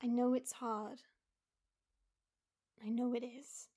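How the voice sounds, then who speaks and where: A soft, mellow female voice, fairly high and slow, breathy and half-whispered. An actress plays a gentle young mother pleading tenderly in an intimate audio drama. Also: level tone.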